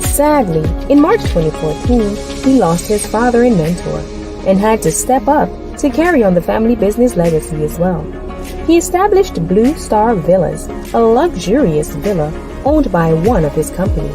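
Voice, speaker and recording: A woman's voice: medium pitch, very animated, normal speed, low-quality recording. A woman announcer reading a guest's biography aloud as scripted narration. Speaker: show announcer. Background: music.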